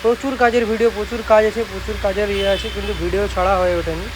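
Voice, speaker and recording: A man's voice: high voice, rapid-fire. A young man with a West Bengal Bengali accent, presenting products casually in an informal, repetitive, reassuring way. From West Bengal, India. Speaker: speaker repair technician and channel host. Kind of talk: casual product showcase vlog. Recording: loud steady background noise, amateur recording.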